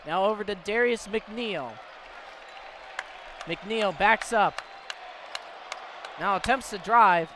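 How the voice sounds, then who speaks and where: A man's voice: sits high, takes it slowly, pauses often, crowd noise. An American man doing live radio play-by-play commentary of a basketball game. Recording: live broadcast with clear background noise. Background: basketball game ambience, bouncing ball.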